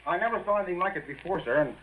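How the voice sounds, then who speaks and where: A man playing an eager, flustered sergeant, halting, folksy and respectful, with an American accent, in 1940s radio acting style. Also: high-pitched, lively intonation. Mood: excited, flustered. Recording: tinny, noisy old radio broadcast.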